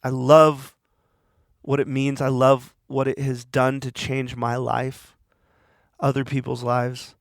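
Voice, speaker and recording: A man's voice: medium pitch, completely flat delivery, slow, gravelly, dry recording, clean studio sound. A man hosting a podcast, talking alone in a rambling, earnest way while he is losing his voice. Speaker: podcast host. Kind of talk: reflective solo monologue.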